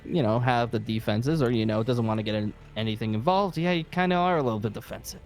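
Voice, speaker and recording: A man playing a weary, irritable survivor leader in an improvised zombie game roleplay, talking in a halting, rambling, emphatic way. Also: medium pitch, darkish tone, faint music behind, lightly acted. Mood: agitated, exasperated. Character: weary, irritable safe-haven leader secretly seeking a zombie cure.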